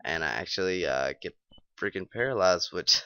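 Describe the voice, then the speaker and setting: A man's voice: low-pitched, lively intonation, moderate pace, bright, forceful tone, clean tone, dry recording. A young man with a sick, scratchy, stuffed-up voice, casually commenting on his own online game battles and thinking aloud with many ums. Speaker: gaming channel host.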